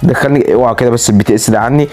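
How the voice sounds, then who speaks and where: A young man with an Egyptian accent, tired from no sleep, giving excitable, dramatic casual commentary as a gaming YouTuber showing off a game account. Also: medium pitch, very fast. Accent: Egyptian Arabic. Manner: excitable, dramatic outbursts, playful threats.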